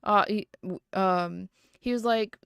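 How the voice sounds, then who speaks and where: A woman's voice: mid-range voice, slow pace, vocal fry, fairly bright tone, clear, resonant tone, no echo, clean studio sound. A woman livestreaming from home, chatting casually and rambling with lots of fillers and restarts. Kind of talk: casual chat.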